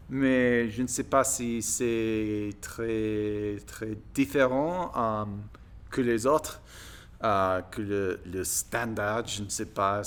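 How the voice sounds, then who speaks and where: A man from the United States with an American accent, a native English speaker, talking casually to viewers and haltingly searching for his words in a second language. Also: medium pitch, fairly bright tone. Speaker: video blogger practising French.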